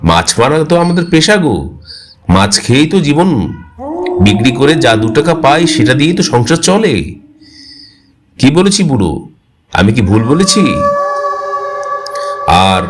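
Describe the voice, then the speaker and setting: A man's voice: medium pitch, sing-song, normal speed, pauses often, over music, pitched above the performer's own voice, natural voice. A man voicing an eager, defensive young village fisherman in a cartoon, earnest, with a Bengali accent. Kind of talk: cartoon dialogue.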